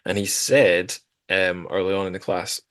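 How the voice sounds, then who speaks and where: A man's voice: low-pitched, little pitch movement, normal speed, fairly bright tone. A man hosting a podcast, chatting casually about literature in a rambling, self-deprecating way. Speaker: podcast host.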